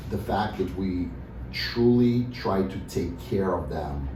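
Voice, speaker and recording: A man from Russia speaks in a very deep voice, answering questions in a halting way with many "you know"s and restarts. He sounds set back from the mic in an echoey room. Steady background noise runs under his voice. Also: far from the mic. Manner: hesitant, many fillers and restarts. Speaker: dentist, interview guest. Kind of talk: casual interview answers.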